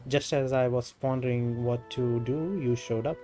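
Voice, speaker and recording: A man with an Indian accent reading out a polite, earnest young clan official in a fantasy game, in a halting delivery. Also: medium pitch, fairly even intonation, warm tone, faint music behind, close to an everyday voice. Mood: earnest, guarded. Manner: halting read-aloud delivery, repeating stumbled words.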